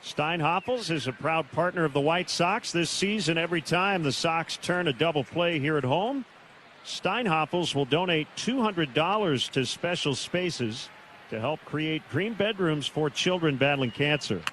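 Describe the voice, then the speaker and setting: Higher-voiced man calling baseball on radio. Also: animated, a little slow, a bit bright. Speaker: baseball play-by-play announcer. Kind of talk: live radio baseball commentary. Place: stadium broadcast booth. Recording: clear noise behind the voice. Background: outdoor ambient stadium noise.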